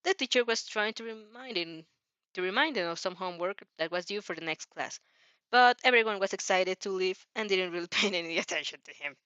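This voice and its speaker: A woman's voice: medium pitch, fairly quick, sharp tone, clean tone, dry recording, highs cut off. A woman informally narrating fan fiction, giggly, often stumbling and rereading phrases as she goes. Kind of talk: informal story narration with reactions.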